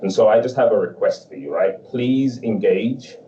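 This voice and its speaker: Deep, mellow man moderating a call. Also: lively intonation, slight background noise. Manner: measured, conversational, fond of "right?". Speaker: panel moderator. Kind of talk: hosting an online panel. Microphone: video call. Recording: dull, distant, roomy call audio.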